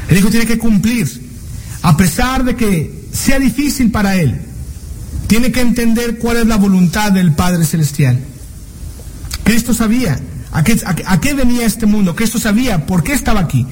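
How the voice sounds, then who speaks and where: Man, very high voice, preacher, earnest sermon, drawn-out emphasis, rhetorical questions, faint background noise. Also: lively intonation, a little mellow, rough recording. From Mexico. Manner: earnest, slows and stretches words for emphasis, asks rhetorical questions.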